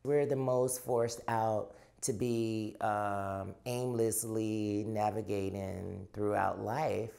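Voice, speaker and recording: A woman from Chicago giving personal testimony in a documentary interview, speaking slowly, deliberately and emphatically. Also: a bass voice, steady tone, vocal fry, mellow. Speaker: interviewee, activist.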